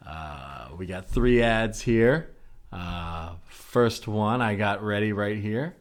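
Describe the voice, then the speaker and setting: A man's voice: on the low side, expressive, takes it slowly. A man co-hosting a comedy podcast, chatting casually and reading out ads.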